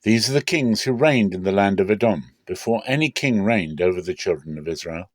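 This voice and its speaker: Low-voiced man reading scripture, close and dry. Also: expressive, a little fast, no echo. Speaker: Bible reader. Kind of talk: dramatic scripture reading, measured narration.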